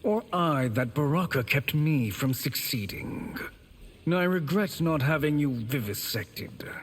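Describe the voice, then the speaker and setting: An actor playing a scheming, cruel male sorcerer in a video game, speaking in a slow, mocking, menacing way with a husky voice. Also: on the high side, expressive. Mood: sneering. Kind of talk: video game character voice acting.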